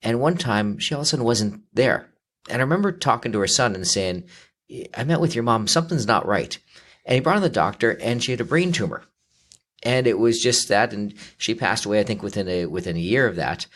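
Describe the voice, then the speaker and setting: A man in his fifties with a lowish voice explains money matters in a steady conversational way. He talks through his points step by step, with plenty of small fillers along the way. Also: brisk. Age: 50s. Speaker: financial advisor. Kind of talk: conversational interview.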